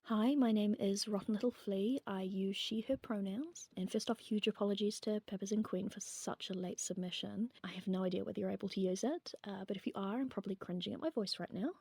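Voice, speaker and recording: A woman's voice: medium pitch, a little fast, hardly any pauses, a little mellow, husky. A woman leaving a casual voice message, chatting in a self-deprecating way. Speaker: listener sending a voice message. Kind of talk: casual chat.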